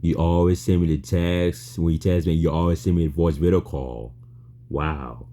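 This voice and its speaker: A man with an extremely deep, very dark and mellow voice talks casually. His phrasing is halting and repetitive, circling back over the same words in non-fluent sentences. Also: steady tone, slight background noise. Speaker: chatbot user. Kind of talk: casual spoken chat.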